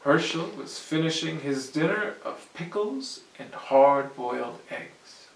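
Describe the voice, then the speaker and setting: A storyteller reading a picture book aloud to children in an animated, explanatory way. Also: medium pitch, slow, gravelly, slight background noise. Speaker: storyteller, host. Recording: echoey room, distant mic.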